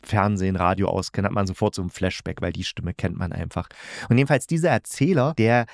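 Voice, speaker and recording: A man's voice: low voice, fast talker, gravelly, no room sound, studio quality. A man hosting a casual review monologue, talking enthusiastically and in a rambling way as he searches for words. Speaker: podcast host.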